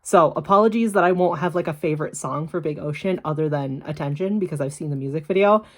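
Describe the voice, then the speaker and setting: A young woman, hoarse from being sick, talking bluntly in a casual vlog, swearing freely and laughing often. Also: sits low, quick pace. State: hoarse from illness. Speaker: YouTuber. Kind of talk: casual unboxing vlog with urgent appeal.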